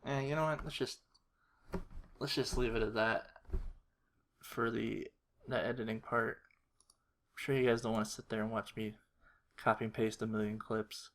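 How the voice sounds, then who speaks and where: A man giving a casual screen-recorded walkthrough, thinking aloud in a low-key, halting mutter. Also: steady tone. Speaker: video creator. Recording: faint noise with keyboard clicks. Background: computer keyboard typing and mouse clicks.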